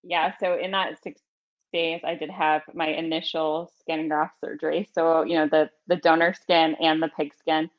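Low-voiced woman on dull lo-fi audio. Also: level tone. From Florida, USA. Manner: measured, with many fillers and restarts. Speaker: podcast guest. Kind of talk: casual interview chat.

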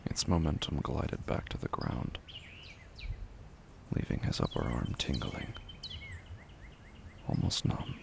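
A man narrating a scripted dramatic story in a slow, grave, hushed manner. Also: very deep voice, very animated, gravelly, noisy background.

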